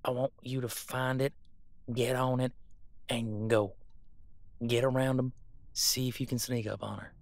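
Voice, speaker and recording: A man playing a gruff, scornful veteran monster hunter in an audio roleplay, folksy and bossy. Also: mid-range voice, little pitch movement, measured pace, pauses often, no room sound. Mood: scheming, scornful.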